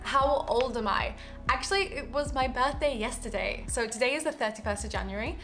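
A woman in her late twenties from Yorkshire, with a hybrid Yorkshire accent, chatting candidly to camera in a relaxed Q&A vlog from her living room. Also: fairly high pitch, fairly quick, soft background music. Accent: mixed Yorkshire English. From Yorkshire, England. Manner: chatty, candid, softens to a quiet tone on personal topics. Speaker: streamer and content creator. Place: living room, indoors, seated on a sofa.

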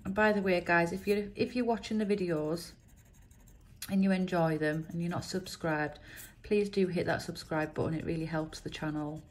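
A woman, croaky from a chest infection, chatting casually while she reads out letters and symbols on scratch cards at a table indoors. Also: on the low side, steady tone, moderate pace. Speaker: scratch card vlogger. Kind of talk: casual commentary.

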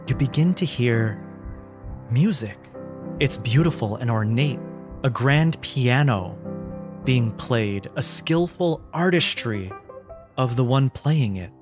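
A man narrating a tabletop game as game master, in a dramatic, deliberate, descriptive storytelling manner. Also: mid-range voice. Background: music behind the voice.

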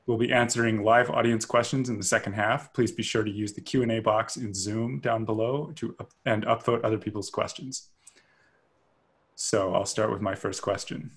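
A man's voice: on the low side, fairly even intonation, normal speed. A man hosting an interview over a video call, reading a formal introduction and posing questions. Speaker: host, editor-in-chief. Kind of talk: hosted interview. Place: home room with bookshelves, indoors.

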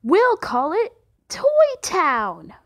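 A woman voicing a cheeky young boy penguin in a cartoon fan dub, who gets excited, turns bratty and stumbles over words. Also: high voice, extremely slow, full character voice. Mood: boastful.

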